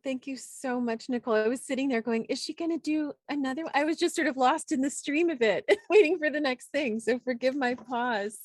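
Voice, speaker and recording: A woman hosting a poetry reading, giving informal, effusive and reflective introductions with thoughtful pauses. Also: sits high, no room sound, studio quality. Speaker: host and poet. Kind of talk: warm, informal event introduction.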